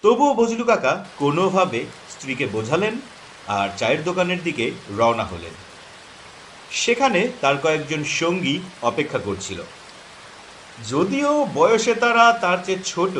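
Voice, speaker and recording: A man narrates a horror tale dramatically, his fairly high voice rising and falling widely. Faint rain and insect sounds sit behind him. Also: big pitch swings, moderate pace, fairly bright tone, slight background noise, low-quality recording.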